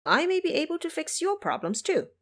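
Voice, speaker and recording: A woman voicing a cheeky, confident male monkey disciple in a brisk, playful way for an audio drama. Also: extremely high pitch, sing-song, voice pushed up. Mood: teasing.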